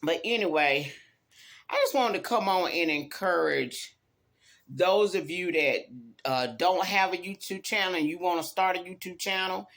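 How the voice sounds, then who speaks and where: A woman's voice: fairly low pitch, moderate pace, a bit bright. Folksy woman in her fifties. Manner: folksy, emphatic, repeats phrases, addresses viewers as y'all, honey and child. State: tired after work. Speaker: YouTube vlogger. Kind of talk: casual motivational talk to viewers.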